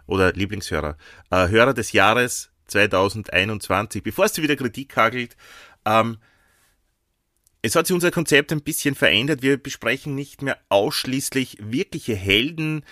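Austrian guy co-hosting a podcast, bit hoarse, bright voice, dry close mic, lots of ähs. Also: lowish voice, normal speed, no room sound. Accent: Austrian German. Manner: chatty, playful, filler-heavy. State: slightly hoarse from a sore throat. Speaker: podcast co-host. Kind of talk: casual podcast chat.

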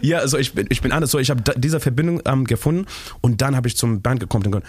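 A man's voice: mid-range voice, darkish tone, slightly rough, no echo. Shona-speaking man searching for words. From Zimbabwe. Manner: halting, searching for words, frequent restarts, laughs often. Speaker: musician guest. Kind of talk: interview answers in learner German mixed with English.

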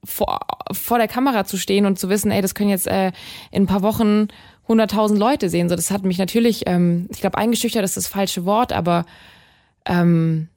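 Guest singer, young woman, deep voice, quick and chatty, plenty of fillers. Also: fairly even intonation, darkish tone. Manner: fast, chatty, many fillers and restarts. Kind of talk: casual interview chat.

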